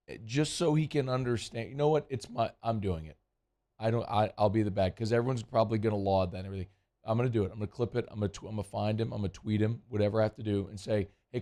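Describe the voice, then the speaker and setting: Lower-voiced male sports radio host, voice worn. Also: dark tone. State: voice worn and strained. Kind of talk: casual sports talk radio. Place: radio studio.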